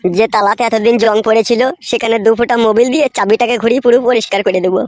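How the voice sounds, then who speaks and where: A man voicing a brash, sweet-talking village philanderer in a cartoon, with a pitched-up voice. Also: fairly even intonation, racing, a little hiss or hum. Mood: flirty, coaxing.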